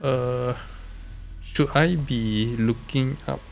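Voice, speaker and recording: A man with a nasal, congested voice from a recent illness, giving halting, casual commentary on a game live stream. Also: medium pitch, creaky, soft background music. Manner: halting, hesitant, often trailing off. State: congested, recovering from being sick.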